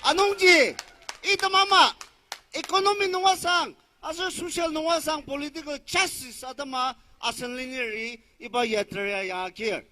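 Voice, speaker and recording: A man with a Northeast Indian accent interpreting at a political rally, declaiming loudly in short bursts. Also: very high voice, normal speed. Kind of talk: consecutive interpreting, loud public address.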